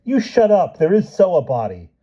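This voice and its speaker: A man voicing a small excitable boy who wails and insists, with a husky voice. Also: fairly high pitch, measured pace, pitched above the performer's own voice. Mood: wailing. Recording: dull lo-fi recording.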